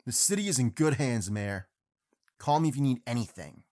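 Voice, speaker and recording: A man's voice: medium pitch, big pitch swings, moderate pace, a little mellow, natural voice. A man playing an earnest, kindly prince and masked hero in an audio drama.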